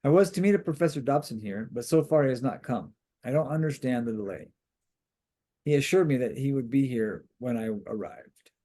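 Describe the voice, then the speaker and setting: A man's voice: mid-range voice, lively intonation, normal speed, mellow, close to an everyday voice. A man reading the part of a polite, reserved male professor in a novel, courteous and formal. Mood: formal, puzzled.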